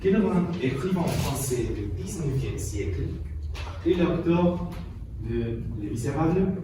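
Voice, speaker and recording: A man plays a quiz show host with a slightly high voice, speaking in halting, deliberate phrases. He sounds far from the mic in a very reverberant space. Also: animated. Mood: presenting. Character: male quiz show host questioning contestants. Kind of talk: scripted amateur quiz show dramatisation. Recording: very echoey, distant, loud background noise.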